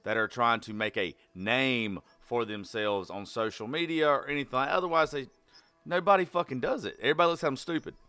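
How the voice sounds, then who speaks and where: A man's voice: mid-range voice, brisk, smooth, no echo, faint music behind. A man in a casual opinion chat, talking bluntly and swearing heavily. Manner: blunt, forceful, heavy swearing.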